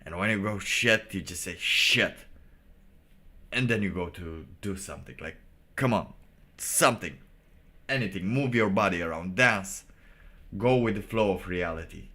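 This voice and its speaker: A man with a very low voice talks off the cuff like a streamer giving commentary. He philosophizes in a halting, rambling way, with a blunt, irreverent edge. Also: sharp tone. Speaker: live streamer reacting to motivational videos.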